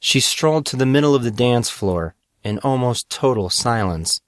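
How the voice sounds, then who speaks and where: A man narrates a dramatic story at an ordinary male pitch. The recording is close and dry, with very little room sound, and the delivery is that of scripted audiobook fiction. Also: animated, moderate pace, no room sound.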